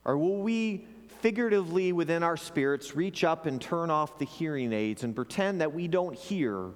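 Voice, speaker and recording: Pastor preaching in a reverberant sanctuary. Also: male voice, fairly high pitch, expressive, moderate pace. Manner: earnest, repeats words when restarting. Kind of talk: sermon. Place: church sanctuary. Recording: long hall reverb.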